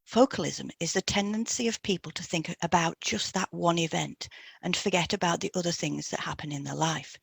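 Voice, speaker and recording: Female co-host for scripted explainer audio. Gravelly edge to the voice, recorded dry. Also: fairly low pitch, fairly quick, dry recording. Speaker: podcast co-host, hypnotherapist. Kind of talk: scripted educational narration.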